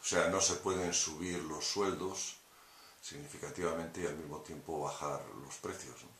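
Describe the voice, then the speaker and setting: A man with a very deep voice conducts an interview, asking probing questions in a conversational way and often checking for agreement. The room is echoey, and there is a faint hiss in the background. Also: fairly even intonation, moderate pace, a bit bright. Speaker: interviewer, host.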